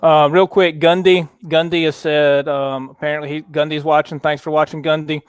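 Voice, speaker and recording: A man hosting a casual sports chat show, talking in a relaxed, halting way with many fillers. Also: a little high, clean tone, dry recording. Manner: relaxed, halting, lots of fillers, reads viewer comments aloud. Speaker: podcast host.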